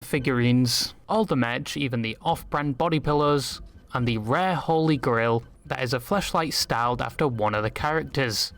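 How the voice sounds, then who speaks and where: A man's voice: mid-range voice, moderate pace, fairly bright tone. A man reading scripted commentary as a video essayist, with a sardonic manner.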